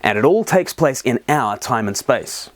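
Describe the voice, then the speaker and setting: A man's voice: medium pitch, expressive, normal speed. A man presenting a scripted explainer, with playful, wry asides. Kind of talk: scripted narration to camera, explanatory.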